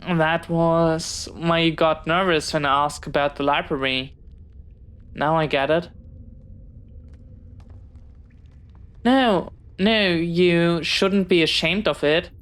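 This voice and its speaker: A woman's voice: low-pitched, smooth. A woman playing a friendly, bookish, talkative young pirate in an audio roleplay, speaking in a polite, hesitant, earnest way. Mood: surprised, reassuring. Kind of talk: intimate scripted audio roleplay.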